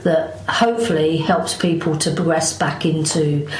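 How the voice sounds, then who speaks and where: Low-voiced woman in an echoey room. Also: unhurried, a little mellow. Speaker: mental health service manager. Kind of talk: interview answers, explanatory. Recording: echoey room with background noise.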